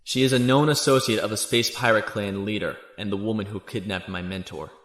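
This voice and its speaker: An actor playing a stiff, earnest young imperial officer in an audio drama, formal and stern. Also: male voice, on the low side, fairly quick, fairly bright tone, close to an everyday voice. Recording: echoey room, music behind.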